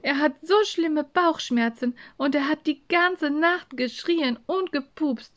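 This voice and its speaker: A woman plays a sleepy schoolgirl in a children's story. Her voice sits high and she sighs wearily through her lines, polite and sweet-natured even when worn out. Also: slow pace. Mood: tired, explaining.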